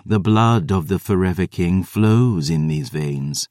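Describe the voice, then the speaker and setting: A man's voice: low-pitched, unhurried, a little mellow, no room sound, studio quality. A man voicing a young vampire woman who is cold, defiant and bent on vengeance.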